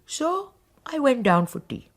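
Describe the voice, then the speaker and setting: A woman's voice: high-pitched, very animated, a little slow, exaggerated voice. An actress playing a blunt, matter-of-fact old servant woman in a radio drama.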